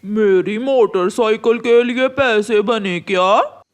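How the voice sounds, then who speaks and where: A woman's voice: on the high side, slow pace. A woman voicing a lazy, sly, boastful male crow in a cartoon.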